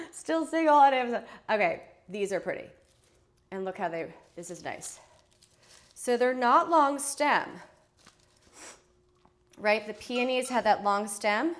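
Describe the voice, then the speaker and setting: A woman hosting a live shopping stream, chatting casually while unboxing, her voice nasal and stuffy from a head cold, joking and teasing herself as she goes. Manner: chatty, jokey, self-teasing, lively swings in pitch and loudness.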